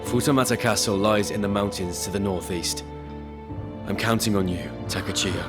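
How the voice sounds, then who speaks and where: Game role: male actor as courteous warlord of old Japan, grave, lowish voice, under loud orchestration. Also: normal speed, loud music behind the voice, lightly acted. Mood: grave, earnest. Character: grave, courteous feudal Japanese lord commanding his forces. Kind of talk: video game cutscene acting.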